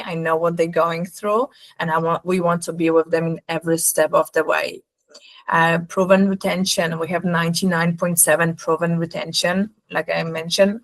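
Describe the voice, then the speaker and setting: Low-pitched woman with a Polish accent. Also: a bit bright, low-quality recording. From Poland. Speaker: recruitment agency representative presenting. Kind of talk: webinar sales presentation.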